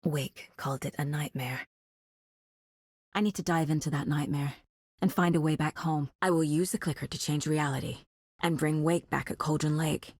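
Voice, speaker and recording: A woman playing a focused, intuitive female FBI agent in a video game, speaking in a measured, introspective and intense way. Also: low-pitched, steady tone, darkish tone, breathy edge, no room sound, clean studio sound. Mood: determined. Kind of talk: video game voice acting, investigative monologue.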